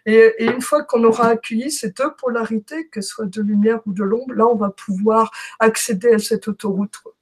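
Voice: medium pitch, fairly even intonation, moderate pace, hardly any pauses, husky. A guest sharing a spiritual interpretation in casual chat, stammering and repeating words.

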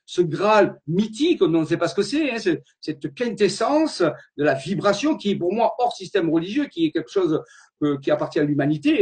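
A man's voice: high-pitched, darkish tone. A man giving an earnest slide-show lecture, wandering from point to point and often repeating his words as he searches for them.

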